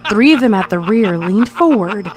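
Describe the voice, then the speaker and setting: A woman's voice: mid-range voice, animated, moderate pace, crowd noise. A woman narrating a scripted horror story as a storyteller.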